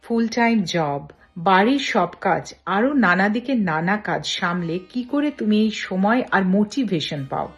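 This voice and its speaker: A woman's voice: mid-range voice, animated, unhurried. Bengali woman host with faint music. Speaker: host, interviewer. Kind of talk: introduction and interview questions.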